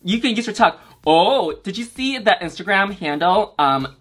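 A man's voice: high-pitched, expressive, normal speed, fairly bright tone. Male drag host, playful leading questions, music bed. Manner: teasing, asks leading questions. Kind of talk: casual interview chat. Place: studio room with blue backdrop.

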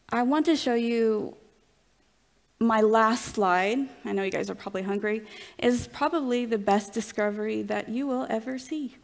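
A young woman with a Southern US drawl lectures from a lectern in a large dark hall, speaking into the lectern microphone. She talks earnestly and eagerly, with pauses and fillers as she walks through her slides. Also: fairly high pitch. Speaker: conference lecturer.

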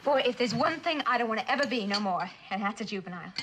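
An actress playing a blunt, streetwise young delinquent with a slangy, defiant way of talking in a vintage suspense drama. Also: female voice, fairly high pitch, animated, fast, noisy background, natural voice. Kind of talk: 1960s television suspense drama acting.

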